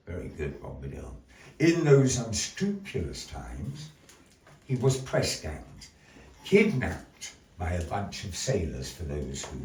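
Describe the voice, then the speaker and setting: A man hosting a live show as storyteller, with grandiose, deliberate phrasing and playful asides to the audience. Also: mid-range voice, darkish tone. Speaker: storyteller and master of ceremonies. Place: indoor gallery. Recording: roomy echo, voice distant from mic.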